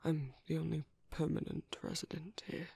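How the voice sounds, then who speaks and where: A man playing a gentle, shy British vampire priest in a soft, intimate ASMR roleplay, with breathy whispering and a hesitant, tender manner. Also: a little high, fairly even intonation, normal speed, no room sound. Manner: hesitant, flustered, tender, formal wording.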